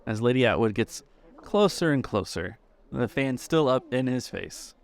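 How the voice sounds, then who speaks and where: A man's voice: medium pitch, lively intonation, normal speed, gravelly. A man narrating a tabletop game as game master.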